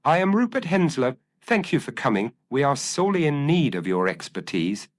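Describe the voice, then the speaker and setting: A man's voice: mid-range voice, sing-song, normal speed, voice pushed up, close to an everyday voice. A man voicing an earnest, anxious village constable who speaks formally and uneasily in an audio mystery. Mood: anxious, grave.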